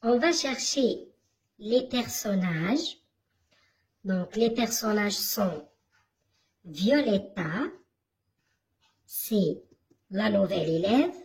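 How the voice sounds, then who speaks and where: A woman teaching a reading lesson to primary school children, asking questions and answering them herself in a slow, didactic way. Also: medium pitch, animated, darkish tone.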